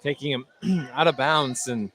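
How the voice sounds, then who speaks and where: A man giving measured, conversational color commentary on a live basketball game. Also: mid-range voice, a bit bright, slight background noise. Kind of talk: unscripted sports analysis.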